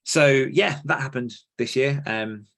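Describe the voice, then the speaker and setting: A man hosting a podcast, talking casually in an informal, somewhat halting way. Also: medium pitch, vocal fry, fairly bright tone. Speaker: podcast host.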